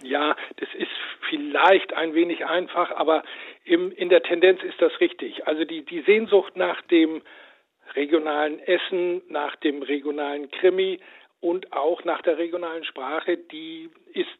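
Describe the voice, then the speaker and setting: A man's slightly higher voice comes through a thin, tinny telephone line. He explains in a measured, lecturing manner, pausing on "ähm" fillers as he builds each point for a radio interview. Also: fairly even intonation. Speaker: language institute director, phone guest.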